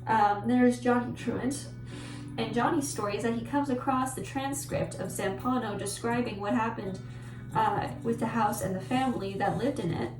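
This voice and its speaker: Rambling Polish-native woman, echoey and distant. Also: a little high. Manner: rambling, self-correcting, awkwardly self-deprecating. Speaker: book vlogger. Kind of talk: casual book talk to camera. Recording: echoey room with mic at a distance and music behind.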